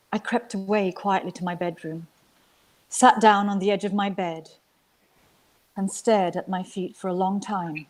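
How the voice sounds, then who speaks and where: A woman from Sri Lanka reading literary fiction aloud as an actor, with measured, expressive storytelling. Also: medium pitch. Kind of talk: dramatic literary narration.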